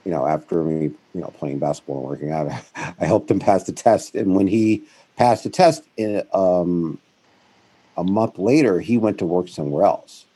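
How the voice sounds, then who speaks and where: A middle-aged man speaks as an interview guest in a very low, mellow voice with a husky edge. He rambles and corrects himself, and he livens up when he mimics other people. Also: animated, normal speed. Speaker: guest, founder of a financial firm. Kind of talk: conversational interview storytelling.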